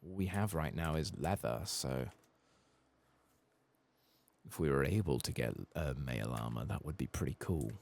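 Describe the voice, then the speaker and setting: A soft-spoken man doing casual, muttered ASMR commentary as he plays through a video game. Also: very deep voice, animated, moderate pace, creaky, pauses often. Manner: casual muttering, swearing mildly. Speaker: ASMR gaming channel host.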